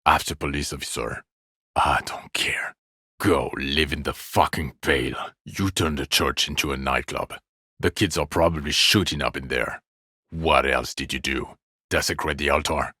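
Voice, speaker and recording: Very deep-voiced, exasperated police detective. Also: male voice, fairly even intonation, moderate pace, bright, forceful tone, slightly rough, no echo, clean studio sound, heavily acted. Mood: sarcastic, then bitter dismissal. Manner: sarcastic, scornful, profane. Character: exasperated, bitter, sardonic police detective berating his drunk partner. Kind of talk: video game voice acting.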